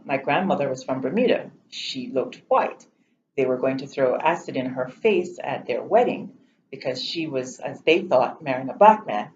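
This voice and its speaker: A woman's voice: very deep voice, a little mellow. Reflective Jamaican woman, muffled recording. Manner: reflective, measured, candid. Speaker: interviewee. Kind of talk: personal storytelling interview. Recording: slightly muffled, faint room noise.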